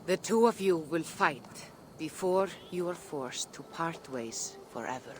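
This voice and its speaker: Grave, mystical Viking seeress. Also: female voice, low voice, animated, background noise. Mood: grave, foreboding. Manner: measured, grave, foreboding. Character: solemn, mystical Viking seeress advising a warrior. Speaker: game character. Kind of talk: video game cutscene acting.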